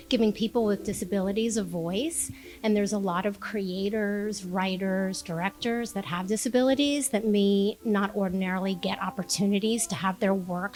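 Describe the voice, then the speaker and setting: A woman speaking conversationally in an interview. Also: medium pitch.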